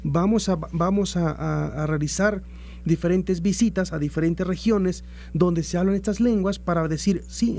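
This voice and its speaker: Higher-voiced Mexican man, soft-toned explainer. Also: slight background noise. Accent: Mexican Spanish. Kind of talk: formal interview answer about institutional plans.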